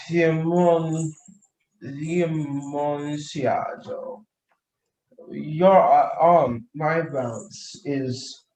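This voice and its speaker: Guy doing a drunk jolly fool of a nobleman, radio play, slurry n rambling, super slow, echoey room. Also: fairly high pitch, a little mellow. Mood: unsteady, drunk. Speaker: student actor in a radio play. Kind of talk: theatrical radio play acting.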